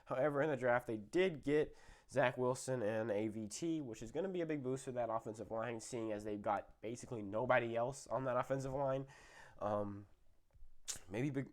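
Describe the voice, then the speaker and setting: A man's voice: mid-range voice, moderate pace, a little mellow. A young man, a little sick, giving casual football predictions with lots of fillers and self-corrections. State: slightly sick, voice a bit off. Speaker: sports video host.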